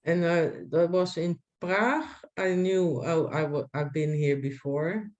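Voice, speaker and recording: A woman with a Dutch accent, a guest chatting on a video call, speaking haltingly with many restarts and fillers. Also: fairly low pitch, darkish tone, highs cut off, a little hiss or hum. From Netherlands. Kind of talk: casual video call chat.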